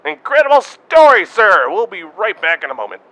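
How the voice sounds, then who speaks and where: An actor playing an eager, inquisitive radio field reporter describing a scene in an amateur radio drama. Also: male voice, high-pitched, big pitch swings, moderate pace, pushed and bright, dry recording, heavily acted. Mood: excited. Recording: tinny phone-like line.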